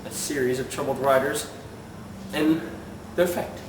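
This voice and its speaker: A man playing a 1920s newsman and interviewer in an amateur mock broadcast, presenting in a slightly halting way. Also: medium pitch, steady tone, natural voice. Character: 1920s newsman covering youth crazes and interviewing musicians. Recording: clearly noisy amateur recording.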